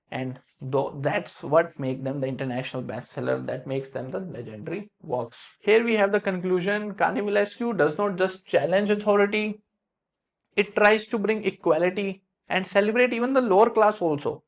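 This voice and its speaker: A male literature teacher speaks English with an Indian accent shaped by years in South India. His voice sits fairly high, with a gravelly, fry-touched texture. He explains concepts conversationally, full of fillers and self-corrections. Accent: Indian English with South Indian influence. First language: Hindi. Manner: explains in loose spoken English with many fillers and restarts. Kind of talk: explanatory lecture.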